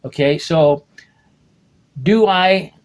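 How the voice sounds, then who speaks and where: A man in his late sixties, from the United States, talks in a loose, rambling monologue. His voice sits a little higher than most men's, and he keeps circling back to reword his thoughts, dropping in "okay?" as he goes. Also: extremely slow. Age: 60s. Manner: rambling, restarts sentences, keeps asking "okay?". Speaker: video blogger.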